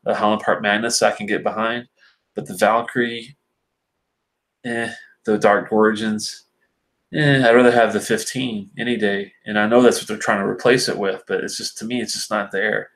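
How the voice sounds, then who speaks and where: A man's voice: fairly low pitch, flat delivery, moderate pace, fairly bright tone. A man hosting a casual live stream about whisky, reading and answering viewer comments in a rambling, filler-heavy way, sounding stuffy and sinusy from allergies. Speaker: whisky reviewer host.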